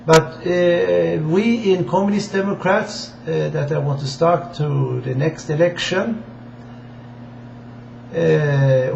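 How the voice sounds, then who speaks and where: A man from Sweden with a Swedish accent gives an informal political address in halting, deliberate non-native English. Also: fairly high pitch, gravelly, a little mellow. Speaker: amateur political campaigner addressing viewers. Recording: dull, echoey room with faint hiss.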